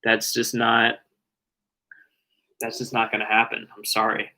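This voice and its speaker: A man's voice: lowish voice, completely flat delivery, measured pace, slight background noise, rough recording. Rambling young man on a live stream. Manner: rambling, self-correcting, trailing off quietly. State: voice getting raspy from long talking. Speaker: live streamer answering viewer questions.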